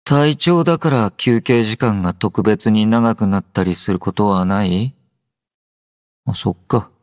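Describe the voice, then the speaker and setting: An actor playing a drowsy, low-energy young male android soldier in an anime game, aloof yet gentle, with a languid, understated delivery. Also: measured pace, dry recording, clean studio sound, close to an everyday voice. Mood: sleepy, reluctant. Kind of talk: Japanese anime game character voice lines.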